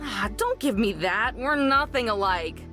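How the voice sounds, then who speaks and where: A woman's voice: a little high, lively intonation, measured pace, music in the background. A woman voicing a blunt, guarded young woman in a visual novel, with a dry, defensive manner. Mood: annoyed.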